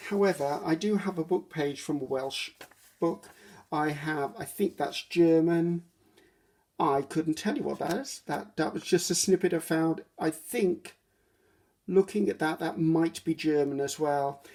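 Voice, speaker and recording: Husky-voiced chatty woman crafter. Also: deep voice, dark tone. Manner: rambling, self-correcting, frequent ums. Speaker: craft video host. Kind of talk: casual chatty craft walkthrough. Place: home craft room at a cluttered table.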